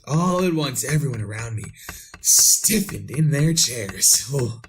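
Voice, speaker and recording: Guy acting haunted doomed killer in old radio drama, slow halting swoopy delivery, noisy clicky recording. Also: medium pitch, lively intonation, natural voice. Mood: haunted dread. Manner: haunted, halting, repeating himself, agitated. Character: frantic condemned murderer recounting witnessing his own execution. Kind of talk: retro radio drama monologue.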